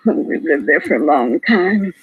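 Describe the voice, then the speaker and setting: An actress playing a frail, shaken older widow, hesitant, plaintive and apologetic, in a 1950s radio police drama. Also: female voice, lowish voice, expressive, a little slow, breathy edge, phone-quality sound, heavily acted. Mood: plaintive, resigned. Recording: vintage 1950s radio broadcast.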